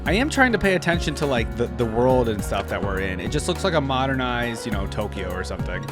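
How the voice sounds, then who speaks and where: A man hosts a casual reaction video with lively, swinging intonation. He is effusive and slangy, thinking out loud as he goes, with clear music from the game behind him. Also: medium pitch, fast talker. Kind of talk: casual excited reaction commentary.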